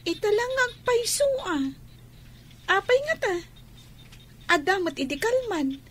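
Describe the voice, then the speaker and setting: A woman's voice: very high voice, big pitch swings, a little slow, exaggerated voice. A woman playing a chatty grown child talking with a father, in an Ilocano radio drama with an Ilocano accent.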